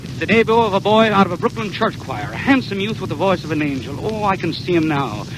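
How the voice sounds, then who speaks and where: A man's voice: high voice, animated, quick pace, background noise. A man announcing a 1930s radio show in a theatrical, declamatory showman style, on an old broadcast recording.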